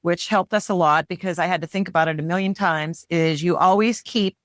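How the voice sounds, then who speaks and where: A woman from the United States with a slightly low voice walks through travel details in plain, casual speech. The recording is dry and close. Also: a little fast, no room sound. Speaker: guest. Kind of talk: casual chat.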